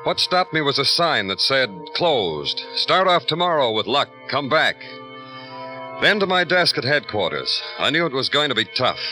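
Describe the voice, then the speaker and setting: An American man acting a weary, brooding police detective, narrating a 1950s radio drama in terse, deadpan, poetic lines. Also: mid-range voice, moderate pace. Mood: grim, brooding. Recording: vintage radio recording with music behind.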